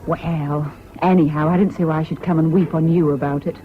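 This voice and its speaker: A woman's voice: sits low, steady tone, a little fast, slight background noise, close to an everyday voice. An actress playing an emotional, proud young Englishwoman in wartime in a 1970s British radio drama. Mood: distraught, bitter. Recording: dull old radio recording, music behind.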